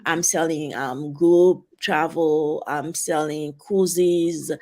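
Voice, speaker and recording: A woman in her forties from Haiti with a heavy Haitian accent, talking as an interview guest in a halting, casual way with many fillers. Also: deep voice, fairly even intonation, darkish tone. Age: 40s. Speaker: guest, travel advisor.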